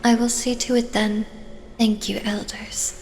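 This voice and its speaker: Woman acting as flat, reserved fighter, hall reverb. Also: fairly high pitch, unhurried. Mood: dutiful, polite. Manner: reserved, even, formal. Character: reserved, dutiful female long-range fighter. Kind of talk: scripted fan audio drama acting. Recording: reverberant hall, faint noise.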